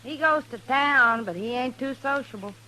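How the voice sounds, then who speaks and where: An actress playing a weary, plain-spoken mountain wife in a radio Western, with folksy Southern backwoods speech. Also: female voice, sits high, normal speed, bright, forceful tone. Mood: plaintive, resigned. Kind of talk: old-time radio Western acting. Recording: noisy old radio recording.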